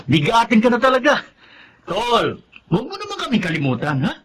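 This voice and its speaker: An actor playing a cheerful, easygoing, admiring older brother in a Filipino radio drama. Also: male voice, very high voice, huge pitch swings, normal speed, fairly bright tone, husky, full character voice. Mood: admiring, teasing.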